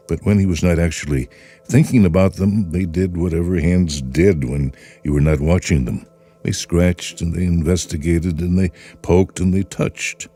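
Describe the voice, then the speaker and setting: A man reading a story aloud in a measured, expressive way. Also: sits low, slightly rough. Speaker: narrator. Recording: music bed under the voice.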